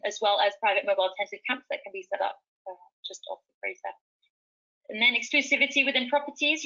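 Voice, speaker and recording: Bright-voiced woman presenting safari options. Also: medium pitch, gravelly, dull audio. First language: speaks fluent Swahili. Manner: enthusiastic, many fillers and restarts. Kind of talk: webinar presentation.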